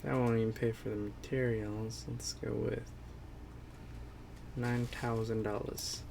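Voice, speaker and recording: A man's voice: medium pitch, little pitch movement, measured pace, vocal fry, noisy background. A man giving casual let's play commentary in short, blunt, jokey asides. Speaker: gamer commenting on gameplay. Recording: game music under the commentary.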